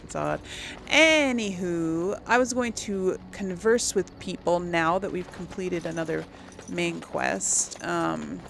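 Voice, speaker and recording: A woman's voice: lowish voice, takes it slowly, vocal fry, fairly bright tone, slight background noise. A woman giving casual gameplay commentary to viewers, rambling and thinking aloud as she goes. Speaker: let's play host.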